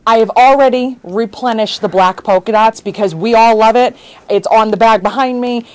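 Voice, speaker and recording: A woman's voice: on the high side, normal speed, darkish tone, no room sound. A woman in her thirties hosting a casual sewing-shop live stream from her studio. She chats, laughs often and jumps between topics. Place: indoor sewing shop studio with bags on shelves.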